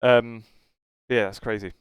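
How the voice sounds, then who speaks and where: A man's voice: medium pitch, fairly even intonation, a little slow, clean tone, no room sound. A man with a British accent co-hosting a casual esports podcast, talking in a rambling, hesitant way that trails off. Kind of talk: casual esports news discussion.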